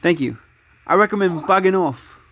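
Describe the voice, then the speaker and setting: High-voiced joking man, dry sound. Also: lively intonation, moderate pace, fairly bright tone, dry recording. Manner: crude joking banter. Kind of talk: casual online voice chat.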